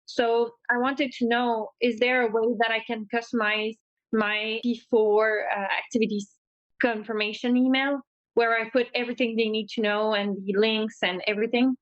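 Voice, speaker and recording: A French-speaking woman from Quebec with a Québécois accent, a tour operator who asks thoughtful questions with many pauses and fillers. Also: on the high side, flat delivery, no room sound. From Quebec, Canada. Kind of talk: asking questions in a podcast chat. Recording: dry, dull-sounding mic.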